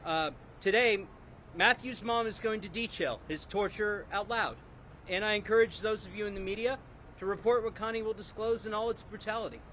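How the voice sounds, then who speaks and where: A man introducing speakers at an outdoor press event, brisk with many fillers. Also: sits high, steady tone, fairly bright tone, slight background noise, rough recording. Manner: brisk, filler-laden emcee delivery. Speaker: event organizer and emcee. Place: outdoors on a city street.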